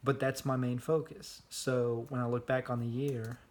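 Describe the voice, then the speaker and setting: A young man records a casual vlog on his phone held at arm's length. His voice sits at an ordinary pitch but stays flat and monotone, with little rise or fall. He rambles along with plenty of fillers and restarts. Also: normal speed. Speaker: vlogger.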